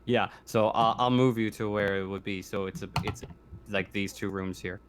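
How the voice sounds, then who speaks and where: A man with a lowish voice runs a tabletop roleplaying game as game master. He pieces his descriptions together haltingly as he goes, and there is noticeable background noise on the recording. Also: fairly even intonation. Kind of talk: improvised narration of a tabletop sci-fi roleplaying game.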